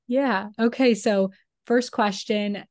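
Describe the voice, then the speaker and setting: A woman's voice: mid-range voice, fairly even intonation, slow. A woman hosting a podcast, reading an introduction and then asking interview questions in an upbeat way. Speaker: podcast host. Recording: faint music behind.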